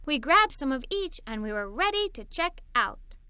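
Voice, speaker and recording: A woman reading a children's story aloud in an animated, expressive way. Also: high-pitched, normal speed, dry recording. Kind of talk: scripted read-aloud storytelling for kids.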